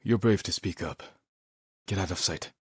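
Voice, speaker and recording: Low-voiced, stern samurai lord. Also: male voice, breathy edge, no echo, clean studio sound. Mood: stern, resolute. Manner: measured, grave, clipped short phrases. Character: stern, brooding male samurai lord of feudal Japan. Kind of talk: dramatic video game voice acting.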